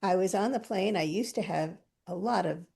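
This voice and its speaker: A woman's voice: on the low side, normal speed, creaky, dark tone, breathy edge. A woman hosting a group video call, chatty and enthusiastic, telling stories and laughing often. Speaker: host.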